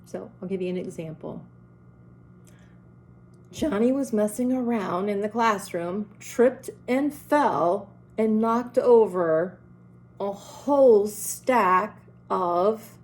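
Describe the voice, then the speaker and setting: A woman's voice: medium pitch, slow pace, a little mellow. A middle-aged woman who runs a school, with a strong, carrying voice, giving earnest, direct advice to parents and teachers. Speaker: school principal.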